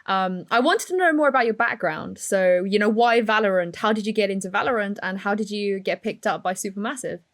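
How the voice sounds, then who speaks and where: A woman's voice: mid-range voice, fairly quick, hardly any pauses. A woman hosting an esports talk show, interviewing in a casual, chatty style.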